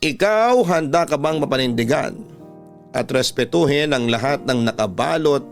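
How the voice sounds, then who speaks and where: A man's voice: mid-range voice, expressive, normal speed, vocal fry, soft background music. A man with a Filipino accent reading a scripted first-person story as a dramatic radio storyteller.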